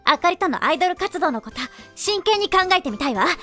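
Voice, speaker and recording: A woman's voice: extremely high pitch, very animated, fast talker, sharp tone, heavily acted. A woman playing a confident, teasing teenage schoolgirl idol in an anime-style Japanese voice drama, with poised, coaxing feminine speech. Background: light tinkling children's music.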